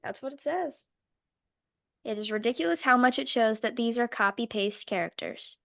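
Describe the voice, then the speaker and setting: Sick lady cohost, kinda high voice, dry close sound, blunt and sweary. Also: animated, normal speed, creaky, fairly bright tone, no room sound. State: sick, voice going in and out. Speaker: podcast co-host. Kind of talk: casual chat.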